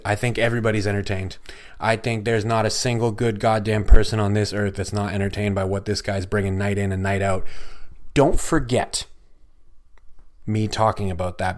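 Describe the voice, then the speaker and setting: A man hosting a solo hockey podcast, recapping a game in an excitable, chatty way with lots of swearing, his voice going hoarse. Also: fairly low pitch. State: losing his voice. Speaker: podcast host.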